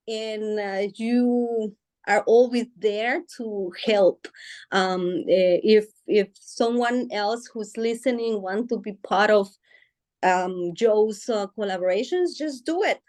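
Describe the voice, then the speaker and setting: A woman's voice: on the high side, darkish tone. A woman whose first language is Spanish, talking as an author guest in a casual interview, hesitant with many fillers and restarts, often laughing as she speaks. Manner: emotional, laughs while speaking.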